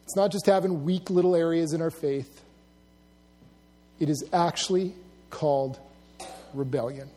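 A middle-aged male pastor preaching a sermon, swinging between loud emphasis and hushed, low intensity, with humorous asides. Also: steady tone, a little slow, pauses often. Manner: storytelling with humor, drawing laughs. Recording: long hall reverb.

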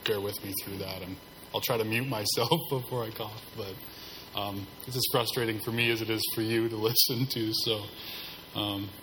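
A hoarse man preaching a sermon as pastor, keeping a measured, subdued delivery to spare his voice. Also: on the low side, steady tone. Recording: hall reverb, faint background noise.

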